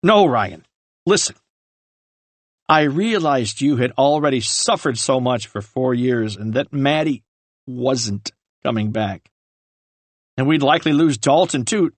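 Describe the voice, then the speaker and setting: A man voicing a remorseful older brother who earnestly explains and pleads his case in a lowered voice. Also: animated, a little slow, long pauses, no room sound. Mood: pleading. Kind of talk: audiobook character reading.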